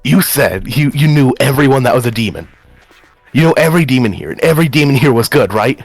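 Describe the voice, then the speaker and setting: Guy acting a betrayed young dude in a game roleplay, mid-pitched, bitter and worked up, stammers and repeats himself. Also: expressive, fast talker, dry recording, soft background music, natural voice. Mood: agitated, insistent. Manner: stammering, repeats words, sarcastic. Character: accusing, betrayed young man, agitated and bitter. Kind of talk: improvised in-character roleplay dialogue.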